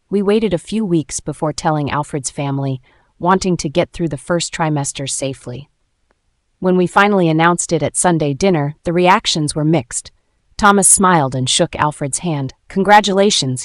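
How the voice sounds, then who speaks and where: Low-pitched woman narrating a story. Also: no room sound, clean studio sound. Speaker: first-person story narrator. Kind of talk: scripted dramatic narration.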